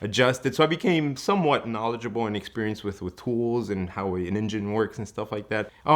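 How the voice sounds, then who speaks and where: A man, telling a host the story of his life as a guest, eager and full of "you know" and quick restarts. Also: fairly low pitch, a bit bright. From Ecuador. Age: 30s.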